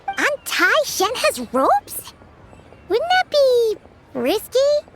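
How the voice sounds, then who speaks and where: A woman voicing a tiny, excitable childlike fairy companion in a video game, curious and naive, with a squeaky voice and an exclamatory manner. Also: extremely slow, exaggerated voice. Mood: curious, puzzled. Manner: speaks of herself in third person, exclamatory. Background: game music.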